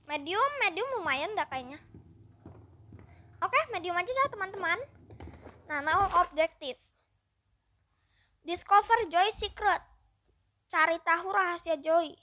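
A gaming YouTuber giving upbeat, chatty let's-play commentary and constantly addressing the viewers. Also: female voice, very high voice, expressive, a little slow, pauses often, sharp tone.

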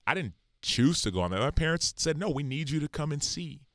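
A man's voice: on the high side, animated, brisk, dry recording, clean studio sound. A man in his thirties from the United States, a podcast guest telling personal stories earnestly and acting out quoted dialogue. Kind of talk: casual conversation.

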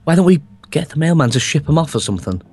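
A man's voice: a little high, sing-song, fast, darkish tone, slightly rough. A young man in an improvised game roleplay, speaking hesitantly with many ums and frequent laughs. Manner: hesitant, fills gaps with ums, laughs a lot. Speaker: roleplay co-player. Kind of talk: improvised game roleplay, voiced over gameplay.

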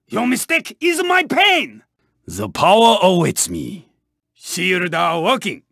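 An actor voicing a proud, intense, honour-bound racing car in a video game, with a Japanese accent, a raspy voice and clipped, formal delivery. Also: male voice, sits high, pushed and bright, no echo, clean studio sound, exaggerated voice. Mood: confident, triumphant. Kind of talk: video game voice clips, short barks.

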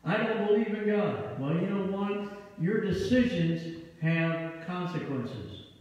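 A middle-aged pastor with a Midwestern American accent, preaching a sermon with emphatic delivery in a church sanctuary, using a handheld mic. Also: male voice, sits high, lively intonation, takes it slowly. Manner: emphatic preaching with wide gestures, rising to loud peaks. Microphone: handheld mic, far camera. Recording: echoey hall, distant and dull.

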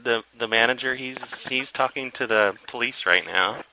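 A man on a phone call speaking as an employee, halting and hesitant. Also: mid-range voice, very noisy. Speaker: prank caller posing as a dealership employee. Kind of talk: phone conversation, improvised prank.

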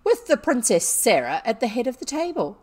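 Woman acting a loud, furious, scornful headmistress. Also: fairly high pitch, big pitch swings, rapid-fire, breathy edge, voice pushed up. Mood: withering scorn. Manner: imperious, scolding. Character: stern, furious, scornful older schoolmistress.

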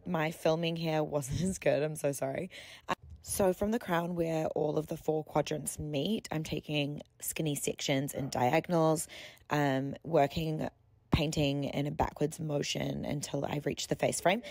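A woman's voice: low voice, fairly even intonation, a little slow, gravelly, a little mellow, no echo. A young woman giving a casual voice-over tutorial as a hair colourist, chatty and explanatory in an informal way.

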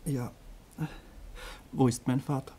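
An actor playing a troubled, ashamed teenage son in a German radio crime drama, speaking earnestly and hesitantly, as if confessing. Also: male voice, mid-range voice, flat delivery, takes it slowly, mellow. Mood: wary, subdued.

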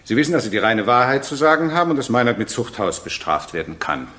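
Stern judge with swooping intonation. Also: male voice, mid-range voice, expressive, quick pace, bright, forceful tone. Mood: formal. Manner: formal, commanding, probing. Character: stern, insistent male judge questioning a witness. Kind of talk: German radio courtroom drama acting.

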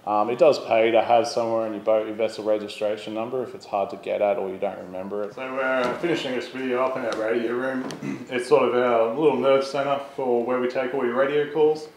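A man's voice: medium pitch, steady tone, brisk, hardly any pauses, darkish tone. An Australian man in his thirties giving an informal, plain-spoken instructional presentation, explaining things step by step. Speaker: volunteer coast guard instructor presenting a video. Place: boat on water, open garage, radio room. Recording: roomy echo, clear ambient noise.

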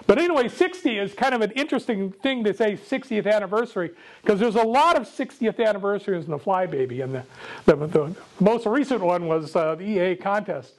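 An older man with a loud voice gives a casual, jokey talk to a club meeting, speaking without a microphone. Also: sits high, animated, brisk. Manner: jokey, self-deprecating, chatty with laughs. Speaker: guest speaker.

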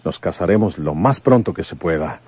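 Radio soap role: devoted country commissioner, low mellow voice, earnest. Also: male voice, expressive, normal speed, husky. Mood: tender, earnest. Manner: plain-spoken, tender. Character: older rural police commissioner, earnest devoted suitor. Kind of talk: Spanish-language radio soap opera acting.

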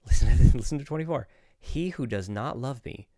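A man's voice: medium pitch, expressive, fairly quick, dark tone, dry recording. A man in his forties giving informal, opinionated Bible teaching in a conversational, explanatory way, close to a studio microphone. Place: small home studio, indoors. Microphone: close studio microphone on desk.

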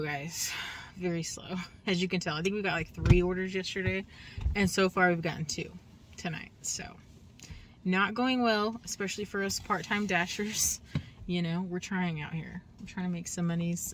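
Chatty young woman filming in a car. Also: fairly low pitch. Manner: chatty, rambling with fillers. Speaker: vlogger, delivery driver. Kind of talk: casual vlog chat. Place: inside a car. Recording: loud road and car noise. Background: car and outdoor ambience.

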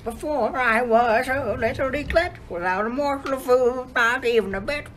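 A woman's voice: high voice, moderate pace, fairly bright tone, a little hiss or hum. A woman playing a gleeful, greedy young eagle in a radio play, gloating over its food. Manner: gloating, savouring.

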